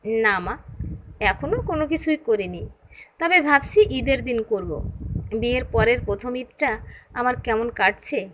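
A woman's voice: sits high, a bit bright, a little hiss or hum, poor audio. A woman voicing a shrewd, composed young daughter-in-law in an audio story, explaining things firmly and with measure. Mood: quietly defiant.

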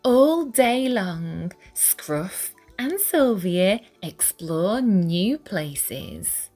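A woman reading a children's picture book aloud with deliberate, dramatic phrasing for young listeners. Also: medium pitch, sing-song, warm tone. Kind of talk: expressive read-aloud storytelling. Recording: music behind the voice. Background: music.

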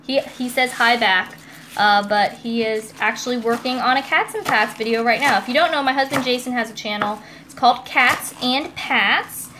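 Higher-voiced American woman, noisy kitchen stream. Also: moderate pace, pushed and bright. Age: young adult. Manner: chatty, giggly, informal. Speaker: host of a cooking live stream. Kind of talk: casual chatty live stream, reading comments aloud. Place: indoors in a home kitchen. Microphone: phone or tablet, a short distance away.

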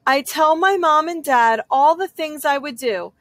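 A woman with a high voice reads a children's storybook aloud. She takes it slowly, stretching key phrases for dramatic effect. The sound is dry, with no room echo. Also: fairly bright tone. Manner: dramatic, drawn-out emphasis on repeated phrases. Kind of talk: expressive children's picture-book read-aloud in a young girl's first person.

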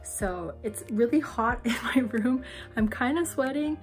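A woman's voice: on the high side, unhurried. Young woman, beauty vlogger, frank casual delivery with swearing, voice a bit worn, faint music bed. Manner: candid, swears freely, drops to whispers. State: losing her voice. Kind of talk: casual vlog chat.

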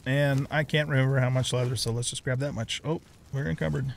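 A man's voice: mid-range voice, steady tone, fairly quick, gravelly, a little hiss or hum. A middle-aged man giving casual let's play commentary on a video game, thinking aloud and muttering to himself.

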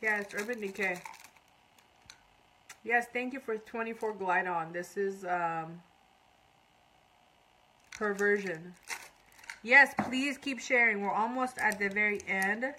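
A woman's voice: mid-range voice, slow, long pauses, bright, forceful tone, slight background noise, low-quality recording. A woman in her thirties from Iran, chatting casually on a live makeup tutorial and reading out viewer comments while she works. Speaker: makeup artist. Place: small indoor room before a curtain.

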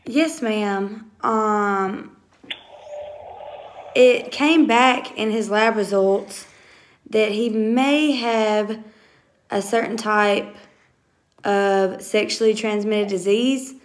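A woman's voice: medium pitch, extremely slow, pauses often. A young woman with a Southern US accent talking casually and politely, recorded on a phone at home. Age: young adult. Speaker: family vlogger making a prank call. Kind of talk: casual, polite phone talk and chat to camera. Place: small room at home. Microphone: phone at arm's length.

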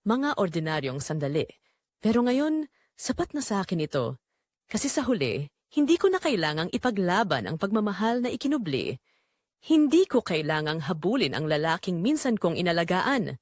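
A woman narrating a first-person dramatic story in a reflective, measured way. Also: medium pitch, no echo, clean studio sound.

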